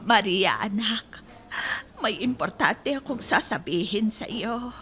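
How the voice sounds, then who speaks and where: A woman's voice: medium pitch, expressive, takes it slowly, sharp tone, slight background noise. A woman playing a tender, worried Filipino grandmother in an audio drama. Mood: hesitant, distressed.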